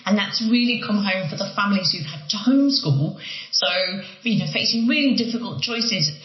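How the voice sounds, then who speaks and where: A woman's voice: medium pitch, lively intonation, moderate pace. Woman researcher explaining stuff, lots of restarts, roomy dull sound. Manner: earnest, with many restarts. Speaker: academic interviewee. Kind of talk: interview answer.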